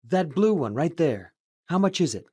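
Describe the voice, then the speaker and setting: A man's voice: fairly high pitch, sing-song, normal speed, a little mellow, breathy edge. A man voicing an encouraging shopper in a scripted English lesson dialogue. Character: generous shopper encouraging a friend.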